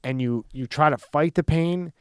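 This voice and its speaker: Chill host guy, smooth clear voice, dry room, swears casually. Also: a little high, moderate pace, dry recording. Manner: laid-back, agreeable, swears casually. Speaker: podcast host. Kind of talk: casual conversation. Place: studio with a green screen.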